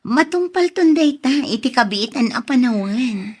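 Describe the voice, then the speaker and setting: A woman playing a young woman in love, trusting and easily hurt, in a drama with a husky voice. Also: fairly high pitch, expressive, moderate pace, a little mellow. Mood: tender. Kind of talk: Ilocano radio-drama style acting.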